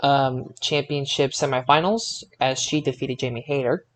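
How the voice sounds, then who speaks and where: A man co-hosting a casual wrestling review podcast in a halting way, often repeating his words. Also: mid-range voice, steady tone. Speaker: podcast co-host.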